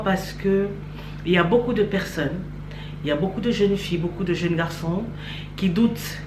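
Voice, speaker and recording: A woman's voice: lowish voice, background noise. A woman from Cameroon giving an earnest personal faith testimony, speaking straight to the listener and repeating points for emphasis. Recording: echoey room.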